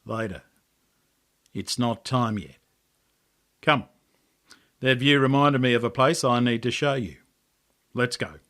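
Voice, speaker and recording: A man voicing a calm, reassuring old grandfather in a story, quiet and unhurried, with an Australian accent. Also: medium pitch. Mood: gentle, firm.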